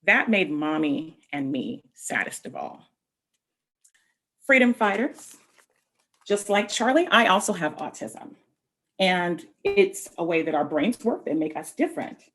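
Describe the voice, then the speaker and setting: A woman reading a picture book aloud to children, expressively, pausing to explain things directly to her young listeners. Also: on the low side, very animated, a bit bright. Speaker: read-aloud storyteller.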